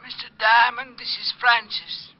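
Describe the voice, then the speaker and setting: An actor playing a deferential, formal butler in a 1940s radio drama, polite, hesitant and easily flustered. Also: male voice, very high-pitched, flat delivery, a little slow. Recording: dull vintage radio recording.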